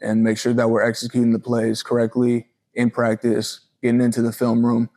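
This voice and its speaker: A man's voice: medium pitch, completely flat delivery, a little fast, a little mellow. A man in his early twenties giving a casual, earnest and respectful sports interview as a college football player. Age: early 20s.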